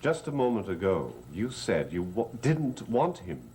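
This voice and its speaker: An earnest lord in an old soap, low and measured, over loud music. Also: male voice, very animated, a little hiss or hum. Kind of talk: 1960s soap opera acting.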